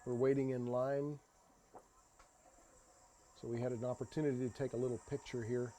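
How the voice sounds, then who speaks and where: A man giving an unscripted tour voice-over, explaining sights in a halting way and often repeating his phrases. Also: medium pitch, level tone, warm tone, slight background noise. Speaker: tour narrator. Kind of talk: unscripted travel video voice-over.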